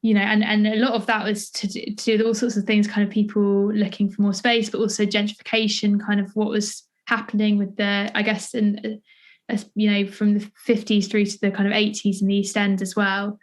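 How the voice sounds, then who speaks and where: Woman doing a podcast interview, middling pitch, kinda tentative and hedgy, casual chat. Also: monotone, fairly quick. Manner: tentative, heavily hedged. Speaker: interviewer, theatre maker.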